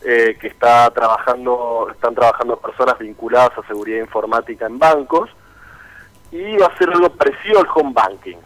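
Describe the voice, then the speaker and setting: A young man with a Buenos Aires Argentine accent speaks as a radio interviewee. His pitch is average for a man, and he lays out his answers in an articulate, explanatory manner. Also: a little fast, a bit bright, poor audio. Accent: Buenos Aires Argentine Spanish. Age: young adult. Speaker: political party founder and interviewee.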